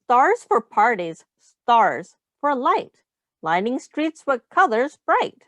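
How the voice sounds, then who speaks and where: A woman reads a picture book to young children at a slow pace. Her voice sits fairly high and moves in animated swoops. She explains things simply, like a teacher, and pauses to ask questions. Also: no echo. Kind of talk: read-aloud storytime for young children.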